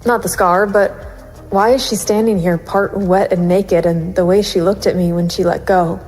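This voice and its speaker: A woman's voice: mid-range voice, fairly even intonation, normal speed, a little mellow, soft background music, close to an everyday voice, poor audio. A woman playing a brooding, conflicted private investigator narrating a noir audio drama. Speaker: narrator and lead actor.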